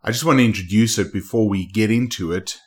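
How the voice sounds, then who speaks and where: A man's voice: fairly low pitch, quick pace, husky. A man hosting a podcast, introducing guests in an enthusiastic, rambling way. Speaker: podcast host. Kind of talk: casual podcast interview.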